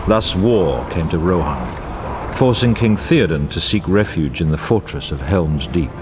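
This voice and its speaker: An actor playing a grave, wise war narrator in a fantasy video game, with dramatic, archaic and formal delivery over music. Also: male voice, sits low, expressive, a little slow, noisy background. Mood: solemn, foreboding.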